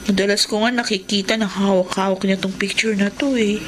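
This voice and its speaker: A woman's voice: mid-range voice, steady tone, fairly quick, lightly acted. A woman with a Filipino accent playing a sweet, dutiful schoolgirl daughter in a radio drama. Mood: wistful. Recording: noisy radio drama recording.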